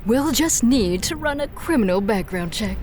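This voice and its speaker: A woman's voice: a little high, animated, moderate pace, natural voice. A woman playing a businesslike yet teasing recruiter of henchmen in an audio drama, with breezy corporate polish. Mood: teasing, cheerful.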